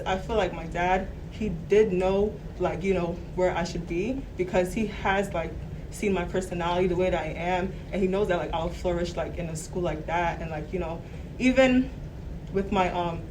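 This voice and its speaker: A woman's voice: fairly low pitch, normal speed. Chatty young woman on a distant mic. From Brooklyn, New York. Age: 20s. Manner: chatty, full of "like" and "you know". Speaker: college student guest. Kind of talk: casual panel chat. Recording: distant mic in a noisy room.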